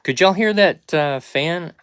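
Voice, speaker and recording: Folksy man, clear smooth voice. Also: on the high side, a little slow, fairly bright tone. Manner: rambling, folksy, hesitant. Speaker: podcast creator and host. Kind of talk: casual behind-the-scenes chat to viewers.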